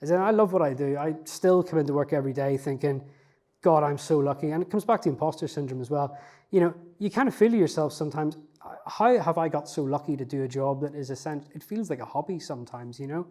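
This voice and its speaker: A man's voice: fairly high pitch, fast talker, darkish tone. A man, a researcher, answering interview questions in a reflective, self-effacing way, with frequent fillers and restarts. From not from Newcastle.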